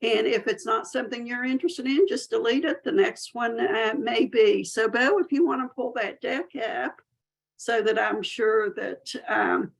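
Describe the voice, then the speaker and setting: Woman, Southern accent, gravelly fry, folksy and talkative, webinar presenter. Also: a little high, a little slow, rough recording. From Southern United States. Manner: chatty, folksy, self-deprecating. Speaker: SCORE chapter chair and presenter.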